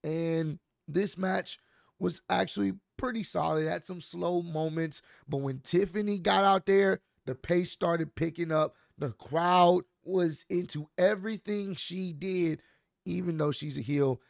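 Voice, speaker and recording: A man in his thirties with a hoarse, worn-out voice, casually recapping a wrestling show for his channel from a home studio. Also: high voice, unhurried, dry recording. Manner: animated, talks with his hands, loose slangy delivery. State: hoarse, voice mostly gone. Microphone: large studio mic on boom arm. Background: soft instrumental music.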